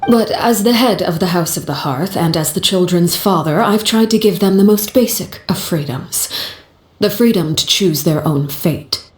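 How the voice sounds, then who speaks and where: A woman voicing a composed, stern female leader who calls herself the children's father, speaking calmly and bluntly in a game cutscene. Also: fairly low pitch, roomy sound, close to an everyday voice. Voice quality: calm, measured, blunt. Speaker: head of an orphanage house, the children's father. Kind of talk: video game cutscene voice acting.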